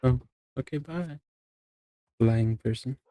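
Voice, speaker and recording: Casting: man as considerate young fantasy courtier, game roleplay. Mid-pitched, mellow, dry sound, casual and unforced. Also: takes it slowly, dry recording, lightly acted. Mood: easygoing, considerate. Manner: casual, gently checking in. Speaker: player in a Minecraft roleplay. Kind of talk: casual improvised roleplay dialogue.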